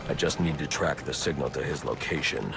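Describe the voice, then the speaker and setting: An actor playing a grim, commanding masked vigilante in a video game, speaking in clipped, stern, deadpan lines. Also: male voice, rumbling low, fairly quick, gravelly, over music. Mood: businesslike. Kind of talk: video game hero acting.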